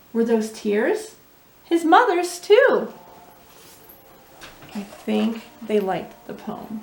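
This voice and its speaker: A woman hosting a children's storytime, reading expressively and speaking to young listeners with teacherly questions and asides. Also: high-pitched. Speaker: children's storytime host and reader. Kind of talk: animated read-aloud with asides to young listeners. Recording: roomy echo with music underneath.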